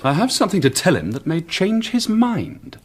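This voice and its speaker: An actor playing a confident, cheeky young amateur detective in a radio drama, brisk and self-assured. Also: male voice, high voice, wildly swooping pitch, slightly rough, full character voice. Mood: cool, assured. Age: young adult. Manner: brisk, self-assured, polite but insolent.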